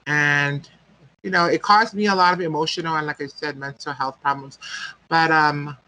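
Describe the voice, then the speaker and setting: A man's voice: a little high, steady tone, moderate pace, bright, forceful tone, no room sound, poor audio. A man, telling a personal story as a guest speaker and often searching for words. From American Samoa.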